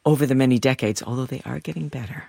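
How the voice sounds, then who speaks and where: Older woman, cultural critic, low androgynous pitch, dry recording, conversational opinion. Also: fast, vocal fry, mellow, husky, no room sound. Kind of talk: opinionated studio discussion.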